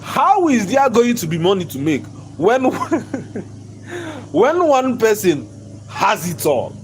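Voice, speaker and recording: A man, hoarse from losing his voice, ranting in a vlog from inside his own shop. He repeats himself emphatically, stumbles over his words and keeps breaking into laughter. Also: high voice, huge pitch swings, slow, slight background noise. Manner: repetitive, emphatic, stammering restarts, bursts of laughter. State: hoarse, lost voice. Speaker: shop owner vlogger.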